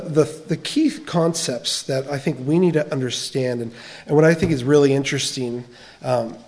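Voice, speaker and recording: A man's voice: mid-range voice, a little mellow, slight background noise. Earnest middle-aged American man teaching. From United States. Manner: earnest, restarts phrases often. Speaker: biblical counseling trainer. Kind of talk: church teaching talk.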